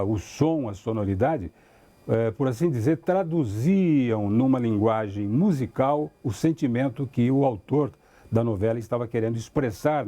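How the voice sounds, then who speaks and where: Husky, mellow Brazilian man reminiscing. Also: mid-range voice. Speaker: documentary interviewee. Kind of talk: reminiscing in an interview.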